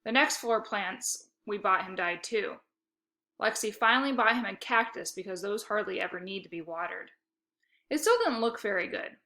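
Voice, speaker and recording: Animated woman reading a storybook aloud, bright voice. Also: medium pitch, normal speed. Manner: animated, emphatic dialogue. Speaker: read-aloud narrator.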